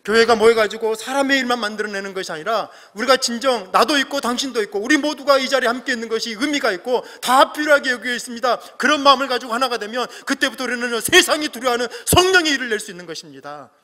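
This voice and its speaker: A middle-aged man preaching a sermon as pastor, earnest, swelling to emphatic peaks and dropping to soft asides. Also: very high-pitched, expressive, quick pace, hardly any pauses. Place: church sanctuary. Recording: long hall reverb.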